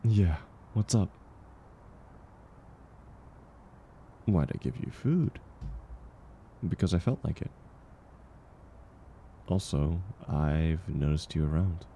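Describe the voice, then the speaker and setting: A man acting a kind, gentle stranger in an intimate audio roleplay, speaking reassuringly and unhurriedly to the listener. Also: deep voice, slight background noise. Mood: gentle, sincere. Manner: soft-spoken, reassuring, unhurried. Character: kind, gentle man, once homeless, sharing dinner with a stranger.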